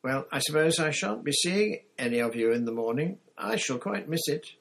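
A man's voice: on the high side, lively intonation, normal speed, vocal fry, pitched above the performer's own voice. A man voicing a kindly, plain-spoken country farm woman with homely rustic phrasing. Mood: homely, wistful.

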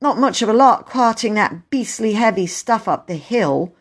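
A woman's voice: on the high side, lively intonation, measured pace, voice pushed up. A woman voicing a proud, stubborn young boy in a storybook, earnest and huffy as he justifies himself. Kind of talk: storybook character voice.

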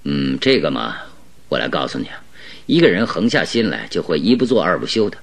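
Deep-voiced, grave radio detective. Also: male voice, big pitch swings, brisk, vocal fry, exaggerated voice. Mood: grave, confiding. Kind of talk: radio drama acting.

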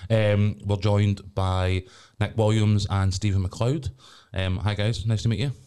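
Scottish guy hosting a podcast, deep mellow voice, rambly with loads of ums. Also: fairly even intonation, measured pace, clear, resonant tone. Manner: rambling, lots of ums and restarts. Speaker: podcast host. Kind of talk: casual chat.

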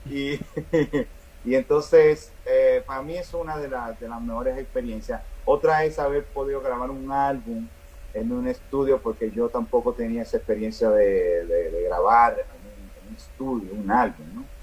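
A man's voice: medium pitch, fairly even intonation, normal speed, a little mellow, muffled recording. A Dominican man with a Dominican Spanish accent, a band singer talking casually in an interview, rambling with many restarts and fillers. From Dominican Republic.

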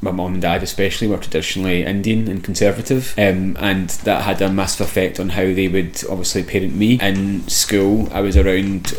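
A man's voice: deep voice, steady tone, fairly quick, few pauses, a little hiss or hum. A Glaswegian man in his thirties, a podcast guest, speaking openly and reflectively about his life and laughing easily. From Glasgow, Scotland. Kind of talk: candid personal storytelling.

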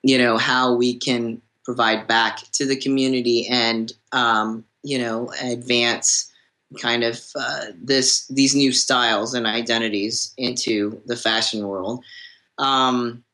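A man's voice: medium pitch, little pitch movement, slow, pushed and bright, low-quality recording. A man speaking as a guest on a podcast panel, talking casually and hesitantly, with many fillers and restarts. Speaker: panel guest, suit designer.

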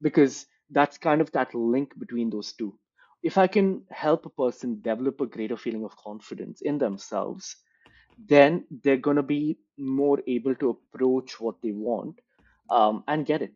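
A man from India, a career coach, giving thoughtful, measured interview answers built around examples. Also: medium pitch, a little mellow, muffled recording.

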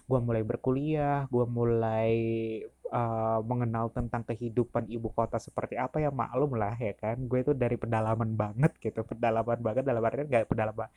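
A young man in his twenties recounts his own story in relaxed Jakarta slang, stopping often for fillers. His voice is warm and mellow, and it is recorded dry and close with no room sound. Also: medium pitch, fairly quick, no echo. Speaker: university staff. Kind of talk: casual storytelling.

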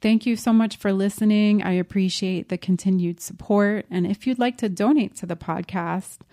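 Woman podcast host, upbeat and chatty, encouraging, mid pitch. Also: warm tone. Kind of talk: casual podcast outro.

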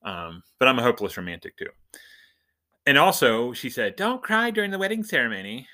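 A middle-aged man hosting a casual podcast, chatting and telling stories with animated, emphatic build-ups. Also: mid-range voice, a little fast. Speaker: podcast host.

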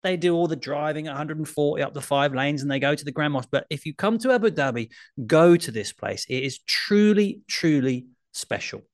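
A man on a casual podcast chat, animated and enthusiastic, telling a story with the odd self-deprecating laugh. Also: fairly high pitch, brisk. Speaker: podcast co-host. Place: traveling abroad, remote call.

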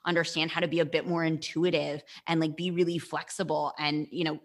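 A woman's voice: deep voice, little pitch movement, brisk. A young woman, a nutrition coach, chatting casually as a podcast guest and rambling with lots of "like" fillers. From United States.

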